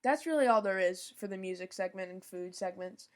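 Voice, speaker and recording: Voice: mid-range voice, a little fast, a little hiss or hum. A young podcast host giving a scripted informational rundown, now and then stumbling over foreign names and apologizing.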